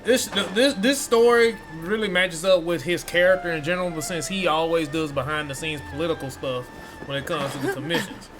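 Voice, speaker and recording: Higher-voiced man doing gameplay commentary. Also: brisk, low-quality recording. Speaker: game streamer. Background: game music.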